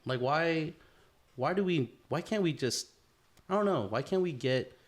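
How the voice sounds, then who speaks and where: A man's voice: fairly high pitch, lively intonation, unhurried. A man co-hosting a podcast in casual chat, rambling earnestly through his questions with many restarts. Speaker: podcast co-host.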